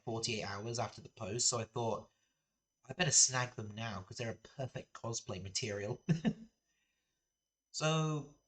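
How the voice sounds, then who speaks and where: A man's voice: mid-range voice, fairly bright tone. A young man casually chatting on a live game stream, rambling and self-deprecating, laughing now and then.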